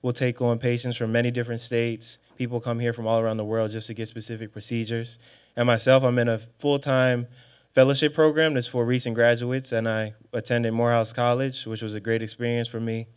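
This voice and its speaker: Young man with a smooth, even voice. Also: medium pitch, a little fast. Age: 20s. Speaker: panelist, hospital IT fellow. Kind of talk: panel introduction to students. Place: stage at a large event space. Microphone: handheld microphone.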